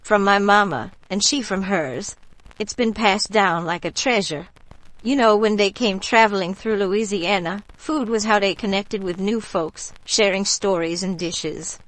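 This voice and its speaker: A woman playing a warm local bayou woman in a radio drama, telling stories in an unhurried, folksy, gently mystical way. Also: mid-range voice, no room sound, close to an everyday voice, poor audio. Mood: fond, reminiscing.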